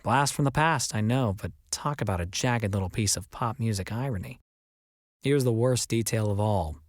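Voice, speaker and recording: A man reading as an audiobook narrator, in an expressive scripted storytelling style. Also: fairly low pitch, normal speed, dry recording, studio quality.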